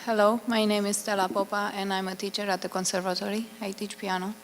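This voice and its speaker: Romanian woman, gravelly, earnest and effusive. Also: mid-range voice, level tone, fast talker. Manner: earnest, effusive, searching for words. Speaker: piano teacher speaking at a public meeting. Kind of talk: public comment at a consultation meeting. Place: public consultation meeting in a hall. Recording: reverberant hall, faint hum.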